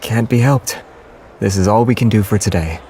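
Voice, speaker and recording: An actor playing a gentle, quietly confident young man with understated, dry teasing in a romance game. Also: on the low side, moderate pace, a little hiss or hum, lightly acted.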